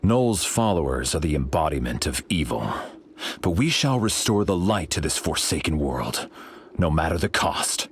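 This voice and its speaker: An actor playing a solemn, noble otherworldly hero in a video game, speaking in a formal, stately, husky way. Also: male voice, very deep voice, slight background noise. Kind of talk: video game character voice lines.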